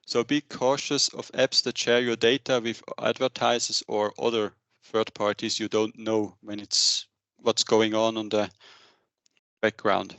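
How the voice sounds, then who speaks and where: A man in his thirties giving a semi-scripted business webinar presentation into a headset, with a halting delivery. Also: on the low side, level tone, a bit bright, no room sound. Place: indoor room, on a video call.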